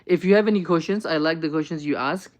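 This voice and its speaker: A man's voice: on the high side, quick pace. A young man on a live stream, answering chat questions with earnest, reassuring advice and lots of ums and "right?".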